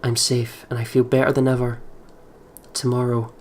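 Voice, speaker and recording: A let's play host reading a horror visual novel aloud and commenting casually, with excitable, jumpy reactions. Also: medium pitch, moderate pace, slight background noise. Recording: music behind the voice. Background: game music.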